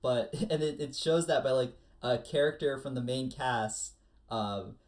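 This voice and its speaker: A man with an American accent in a casual panel chat about anime, retelling things in an animated way with acted-out quotes. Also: medium pitch, measured pace. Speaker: podcast panelist.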